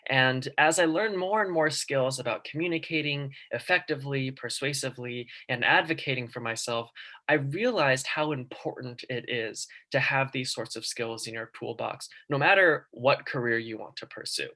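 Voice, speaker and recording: A man's voice: medium pitch, moderate pace, bright, forceful tone. A young man giving a class presentation over a video call, telling a personal story earnestly with frequent ums. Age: young adult. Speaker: student guest presenter.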